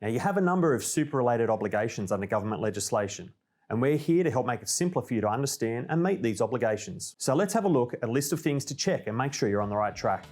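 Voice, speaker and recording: A man with an Australian accent presenting a scripted informational update in a clear, orderly, explanatory way. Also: medium pitch, expressive, quick pace, darkish tone. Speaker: presenter of a company newsreel.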